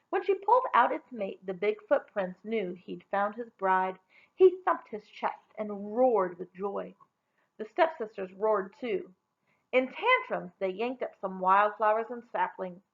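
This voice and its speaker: A woman reading a children's story aloud in an expressive storytelling style. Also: mid-range voice, creaky, lo-fi sound.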